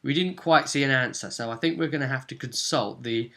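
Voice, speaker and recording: A man speaking as game master, casually recapping a tabletop role-playing session in an animated, explanatory way. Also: medium pitch, brisk, gravelly.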